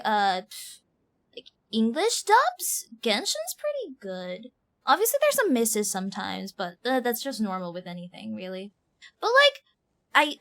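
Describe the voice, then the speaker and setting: A woman streaming a game, giving casual live reaction commentary in an animated, exaggerating manner. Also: on the high side. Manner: animated, mimics lines with exaggeration.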